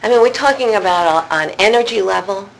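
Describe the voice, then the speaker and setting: A woman's voice: mid-range voice, expressive, fast talker, vocal fry, a little hiss or hum, poor audio. A woman interviewing a drama student, asking probing follow-up questions in an engaged, admiring way. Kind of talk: conversational interview.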